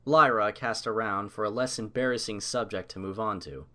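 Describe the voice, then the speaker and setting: A man's voice: lowish voice, moderate pace, pushed and bright. A man reading a scripted story as its narrator.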